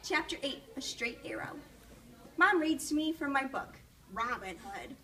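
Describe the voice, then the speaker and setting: A woman reading a children's storybook aloud in an expressive, encouraging way, pausing to ask questions, recorded from a distance. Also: high voice. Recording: distant mic with faint background noise.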